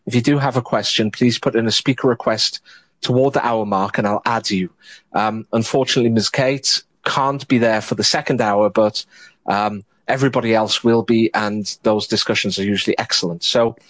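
A man hosting an online audio panel, introducing the guests in measured sentences broken by frequent hesitations. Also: medium pitch, a bit bright, no room sound. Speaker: host.

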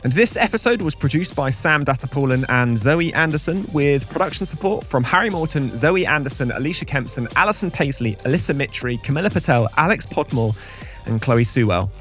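A man's voice: on the high side, lively intonation, brisk, a bit bright, faint music behind. A man co-hosting a podcast, reading scripted segments in a conversational way with wry, chatty asides. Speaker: podcast co-host.

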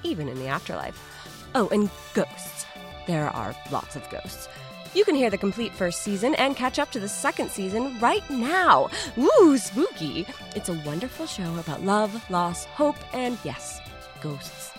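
A woman's voice: mid-range voice, sing-song, a little slow, music in the background. A woman reading a scripted podcast promo in a whimsical, tongue-in-cheek way. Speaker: podcast host reading a sponsor message.

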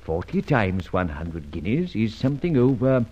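Suave, husky gentleman adventurer, muffled. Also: lowish voice, big pitch swings, moderate pace. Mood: smooth menace. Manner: polished, drily ironic, courteous menace. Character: suave gentleman adventurer, masked vigilante, mocking and unruffled. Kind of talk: old radio adventure serial acting. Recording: muffled old radio drama recording.

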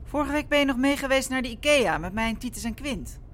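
An actress playing a wry, teasing adult daughter in an audio drama, with a sardonic way of talking. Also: female voice, high voice, lively intonation, quick pace, a little hiss or hum.

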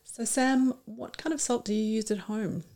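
A woman's voice: a little high, normal speed, mellow. A woman hosting a podcast, presenting and asking questions in a conversational style. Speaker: podcast host.

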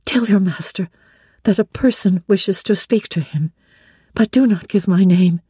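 A woman narrator plays a young heroine of a classic novel, composed and quietly firm. Her voice is mellow and husky, gentle in manner. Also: mid-range voice, measured pace, no room sound, studio quality. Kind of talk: dramatic audiobook reading of classic literature.

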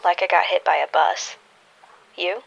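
Woman playing a creaky, guarded, wry superhero. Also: on the low side, steady tone, a little slow, dull audio, a little hiss or hum. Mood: weary, wry. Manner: terse, dry delivery. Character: guarded, wry, wounded female superhero with dangerous gaze. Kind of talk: naturalistic audio drama acting.

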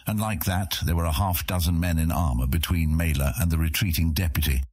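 A man's voice: low voice, fairly quick, darkish tone, slightly rough, dry recording, clean studio sound. A man reading scripted fantasy fiction as an audiobook narrator, telling the story in the third person.

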